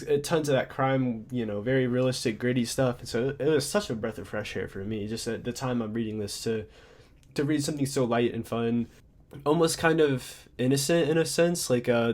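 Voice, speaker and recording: A man's voice: mid-range voice, a little mellow. A young man giving a casual, off-the-cuff book review, a bit congested, rambling with lots of fillers and restarts. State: congested, slightly stuffy.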